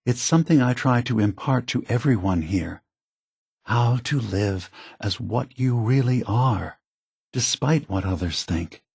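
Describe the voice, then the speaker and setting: Game role: weary old android leader, low and husky, gently persuasive, philosophical, measured delivery. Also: male voice, dry recording, clean studio sound. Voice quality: soft, husky, breathy. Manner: measured, deliberate, philosophical, reassuring. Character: gentle, weary, aged male synth leader, softly persuasive. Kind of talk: video game voice acting.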